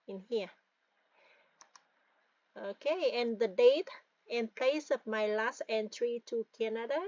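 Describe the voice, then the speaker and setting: A woman's voice: a little high, no room sound, dull audio. A woman with a Vietnamese accent, a native Vietnamese speaker, talking through an online form step by step as a tutorial presenter, often checking in with "all right?" and "guys". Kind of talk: screen-recorded form walkthrough.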